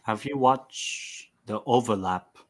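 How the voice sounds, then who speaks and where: Low voiced guy on a call talking football, easygoing. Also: slow pace, crowd noise. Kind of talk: casual chat.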